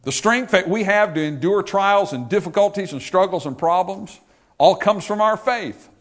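A man preaching a sermon, swinging from loud emphatic peaks to quiet low asides. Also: normal speed.

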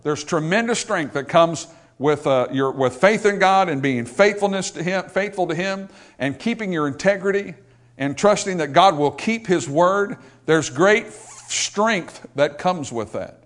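A man preaching a sermon, swinging from loud emphatic peaks to quiet low asides. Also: lively intonation, unhurried.

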